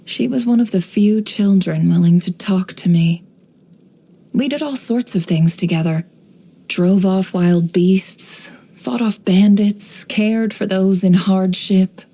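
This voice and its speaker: An actress playing a shrewd, worldly woman who runs an intelligence business in a video game, speaking in a composed, wry, measured way. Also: mid-range voice, warm tone. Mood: knowing, musing. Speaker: video game character voice actor. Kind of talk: scripted game character voice lines, monologue.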